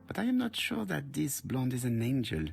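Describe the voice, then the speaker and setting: A man's voice: medium pitch, very animated, moderate pace, creaky, music in the background. A man playing a courteous, self-assured older detective in a video game, with a French accent and formal, precise phrasing. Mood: observant, musing. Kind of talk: video game dialogue and inner monologue.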